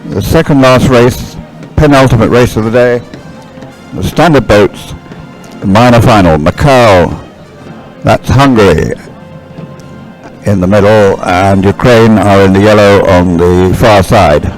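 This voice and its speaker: A male race commentator with a fairly low voice speaks live over faint music. His delivery is descriptive and somewhat halting, with corrections made along the way. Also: poor audio.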